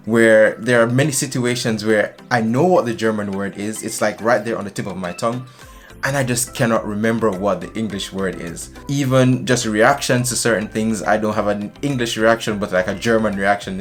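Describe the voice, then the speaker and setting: A young man from Jamaica talks straight to the camera in a vlog, his voice sitting low. He chats in an easygoing, animated way from a small room, with faint music playing underneath. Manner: chatty, animated with hand gestures. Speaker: vlogger. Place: small indoor room, decorated shelves.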